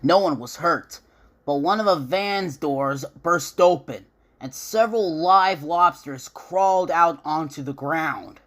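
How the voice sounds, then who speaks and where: A storybook narrator tells a scripted tale in a comic dub. The voice sits at a middle pitch, with music clearly playing underneath. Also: slow, fairly bright tone.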